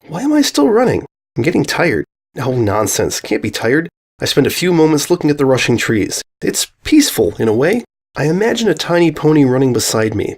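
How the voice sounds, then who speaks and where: A man reading a storybook tale as a young female pony, manic, cheerful and anxious, in an expressive, theatrical, self-questioning manner. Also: mid-range voice. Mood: manic, wistful. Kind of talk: first-person dramatic storybook narration of fan fiction.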